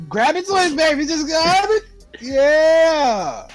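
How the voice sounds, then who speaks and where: A man's voice: very high-pitched, very animated, slow. A young man joking around in casual banter with friends, throwing in crude interjections. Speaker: podcast co-host. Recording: background music under voice. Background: music.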